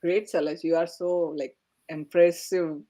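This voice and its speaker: A woman hosts a panel discussion as its moderator. Her voice sits slightly low for a woman. She moves from guest to guest with encouraging, affirming remarks. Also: little pitch movement, unhurried, dark tone. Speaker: podcast host.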